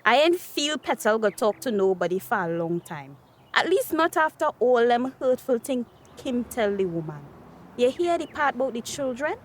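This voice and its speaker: An actress playing a chatty, cheeky teenage daughter in a radio drama, with a Tobagonian accent and animated dialect speech. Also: female voice, fairly high pitch, moderate pace. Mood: gossipy. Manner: animated, dialect-rich gossiping.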